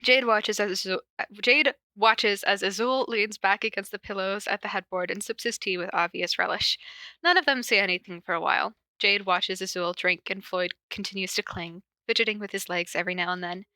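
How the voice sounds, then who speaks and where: A woman's voice: medium pitch, fairly quick, pushed and bright, no room sound. A woman podcast host casually reading fan fiction aloud and chatting, with a giggly, informal manner and frequent asides. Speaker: podcast host and podfic reader. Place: corner of a basement at home.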